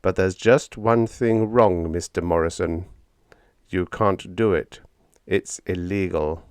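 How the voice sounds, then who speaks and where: A man voicing a polite, solemn, smug android rebel in an audiobook, speaking in a measured way. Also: low-pitched, clear, resonant tone, dry recording. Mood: solemn, firm. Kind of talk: audiobook character dialogue.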